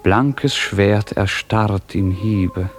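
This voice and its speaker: A man narrating a literary radio drama and reciting verse in a solemn, poetic, measured manner. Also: on the low side, faint music behind.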